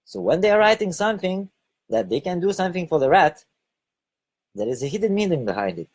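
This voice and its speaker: A man from Lithuania with a Lithuanian accent, giving a halting spoken monologue and often repeating or correcting his words. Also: high-pitched, very animated, darkish tone, slightly rough. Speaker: online video host.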